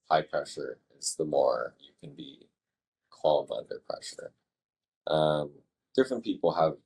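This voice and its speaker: A man working as a fire dispatcher, answering interview questions in a measured, thoughtful way with halting phrasing. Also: a bass voice, husky, low-quality recording.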